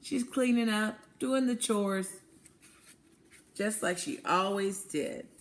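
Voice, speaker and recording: A woman reading a picture book to young children as a teacher, asking questions, affirming answers and explaining words simply. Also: medium pitch. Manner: asks questions, pauses, then affirms answers. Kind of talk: interactive picture-book read-aloud lesson.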